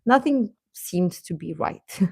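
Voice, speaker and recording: A woman's voice: fairly low pitch, expressive, slow, gravelly, husky, no room sound, studio quality. A woman chatting casually on a livestream, giving earnest, encouraging advice and repeating phrases for emphasis in a reassuring way. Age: adult. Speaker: live streamer giving advice to teenage viewers.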